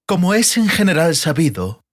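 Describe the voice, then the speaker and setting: A man's voice: a little high, very animated, normal speed, voice pushed up. Man acting a dignified elf, cold, formal, reproving, fantasy storybook. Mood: solemn. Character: cool, proud, dignified slender male elf.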